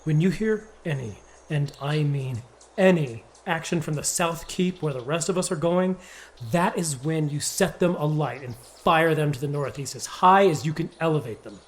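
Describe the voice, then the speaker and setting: A man's voice: fairly high pitch, normal speed, close to an everyday voice. A man playing an authoritative, easily exasperated party leader giving emphatic orders in an audio drama. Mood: commanding. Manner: emphatic, commanding.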